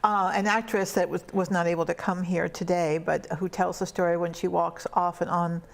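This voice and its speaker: Woman, interviewer, low pitch, gravelly, encouraging. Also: flat delivery, moderate pace. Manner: encouraging, frequent short agreements. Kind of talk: casual interview chat.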